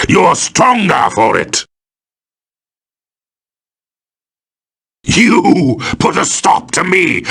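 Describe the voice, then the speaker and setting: A man's voice: mid-range voice, vocal fry, sharp tone, breathy edge, heavily acted. A man voicing a boastful, power-mad supervillain for a video game, grandiose, theatrical and menacing in delivery. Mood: indignant, scornful. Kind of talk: video game villain voice acting.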